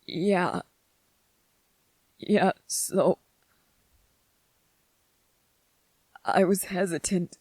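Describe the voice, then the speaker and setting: A performer playing a gentle young male soldier in an intimate ASMR roleplay, speaking tenderly and haltingly with vulnerable, earnest emotion. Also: medium pitch, fairly even intonation, no room sound, poor audio. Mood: hesitant confession.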